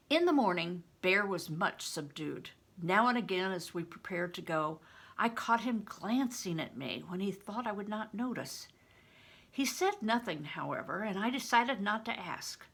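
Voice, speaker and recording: An older woman reading a storybook aloud as a grandmother would, deliberate and careful, narrating expressively. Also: medium pitch, creaky, fairly bright tone, husky. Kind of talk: expressive read-aloud narration with questions to listeners.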